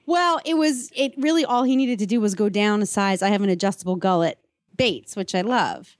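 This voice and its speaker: A woman's voice: medium pitch, fairly quick, dry recording. Playful woman host, dry close sound. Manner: playful, colloquial. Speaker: podcast host. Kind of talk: casual chat, conversational interviewing.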